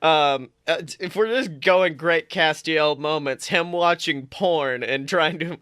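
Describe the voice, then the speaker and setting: A man with a bright, slightly high voice chats on his podcast, animated and quick to swear and laugh. The sound is dry and close, from a studio mic. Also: clear, resonant tone, no echo. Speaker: podcast co-host. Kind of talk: casual chat.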